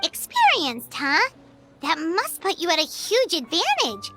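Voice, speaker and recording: An actress voicing a tiny, excitable fairy companion in an anime game, with a squeaky childlike voice and cute, curious manner. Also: female voice, measured pace, over music, heavily acted. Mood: cheerful, curious.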